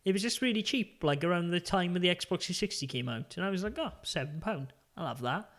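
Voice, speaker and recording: A man's voice: sits high, animated, fairly bright tone. A young man with a Welsh accent, co-hosting a casual gaming podcast in a chatty, rambling way. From Wales. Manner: chatty, rambling, quick laughs. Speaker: podcast co-host.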